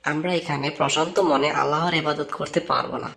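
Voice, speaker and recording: A man voicing a gentle, friendly devout young man, polite and earnest, in a cartoon. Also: on the high side, steady tone, fast, vocal fry.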